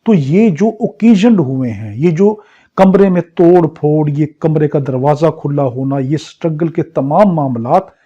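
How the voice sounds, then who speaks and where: A man's voice: fairly high pitch, animated, unhurried, warm tone, breathy edge. A man with a Pakistani accent lecturing as a law teacher in an exam crash course, drilling points by repetition and rhetorical questions. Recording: dull, lo-fi muffled audio.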